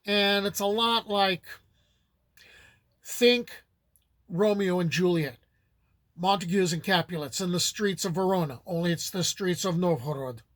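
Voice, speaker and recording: A man with a fairly high voice gives a loose, conversational talk on old folk tales. He wanders into asides as he explains. The sound is close and dry, with no room around it. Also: measured pace, long pauses, a bit bright, dry recording. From United States. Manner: rambling and conversational, with many asides. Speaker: folklore channel host. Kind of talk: casual lecture on folklore.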